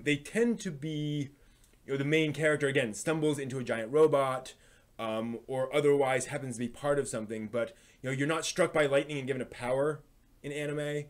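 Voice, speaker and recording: A man hosting a live stream, talking in a casual, analytical way and often halting as he thinks aloud. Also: mid-range voice. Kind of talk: casual rambling live commentary on anime and comics.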